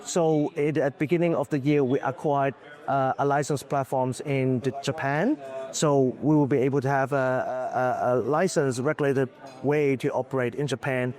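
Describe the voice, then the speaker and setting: A man, a business executive, answering questions in a conference booth interview, slightly hoarse from losing his voice, talking candidly with lots of fillers. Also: mid-range voice, fairly even intonation, normal speed, a little mellow. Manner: candid, loose, full of "uh" and "like".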